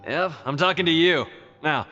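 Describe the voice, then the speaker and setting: A man's voice: fairly high pitch, normal speed, pushed and bright, muffled recording, soft background music. An actor playing a boisterous, relentlessly upbeat man in a video game, hearty and exhorting. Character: boisterous, relentlessly upbeat male pep-talker. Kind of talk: animated video game acting.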